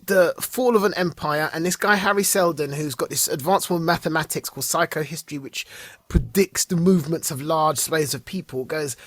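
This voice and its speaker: A man's voice: fairly high pitch, brisk, fairly bright tone, no room sound. A man co-hosting a podcast, chatting casually about TV shows, laughing often and trailing off mid-sentence. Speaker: podcast co-host. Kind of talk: casual chat reviewing TV shows.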